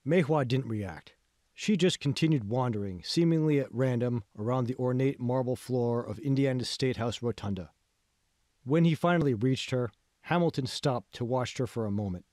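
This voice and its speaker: A man's voice: mid-range voice, moderate pace, a little mellow, no echo. Man narrating an audiobook, dry studio sound. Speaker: author reading own audiobook. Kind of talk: scripted narration, audiobook reading.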